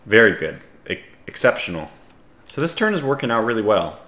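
A man with a scratchy voice giving casual strategy game commentary, calmly thinking aloud as he analyses his options. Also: lowish voice, fairly quick, echoey room. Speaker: gaming video host.

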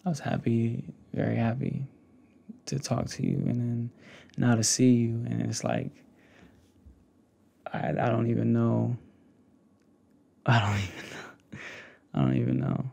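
A young man speaking softly and hesitantly close to the mic in a casual, intimate ASMR roleplay. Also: medium pitch. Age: young adult. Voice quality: gravelly, breathy. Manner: hesitant, halting, soft-spoken. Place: indoors, small home setup with fabric backdrop. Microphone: desktop condenser mic with pop filter, close up.

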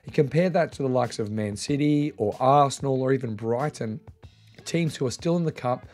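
A man's voice: mid-range voice, normal speed, a little mellow, soft background music. Upbeat man hosting a fantasy football video. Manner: upbeat, direct, addresses viewers. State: recovering from losing his voice. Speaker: fantasy football channel host. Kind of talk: solo video commentary to camera.

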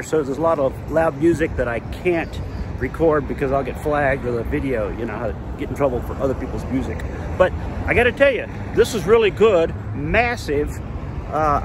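A man's voice: on the high side, noisy background. A middle-aged man filming a casual food review on a phone held at arm's length, chatty and enthusiastic, with many fillers and restarts. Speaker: food vlogger. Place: diner, then outdoors by a road, then home kitchen. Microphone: phone camera held at arm's length.

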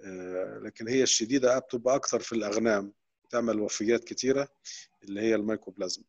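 A man with an Egyptian Arabic accent, a veterinary professor answering questions during an online lecture with many quick restarts. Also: lowish voice, steady tone, a little mellow, slightly rough. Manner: explanatory, rapid, with frequent restarts. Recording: dull, lo-fi online call audio.

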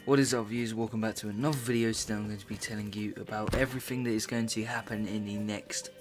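A man's voice: fairly low pitch, fairly even intonation, fast talker. A young British man hosting a gaming channel, chatting casually and rambling with many fillers. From Britain. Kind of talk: casual chat over gameplay footage. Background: loud game music and gunfire.

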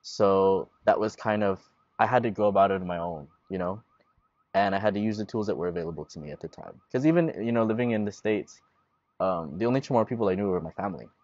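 A man in his twenties, a guest in a relaxed outdoor panel talk, explaining at length and gesturing as he speaks. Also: fairly low pitch, fast talker, no room sound, muffled recording. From Guam. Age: 20s. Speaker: guest, Chamorro language learner. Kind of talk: casual panel conversation. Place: outdoors at a table in a leafy garden. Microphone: lapel mic.

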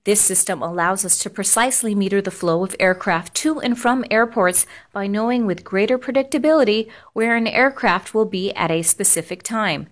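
A woman with an American accent reading scripted instructional narration in a measured, formal, explanatory way. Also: medium pitch.

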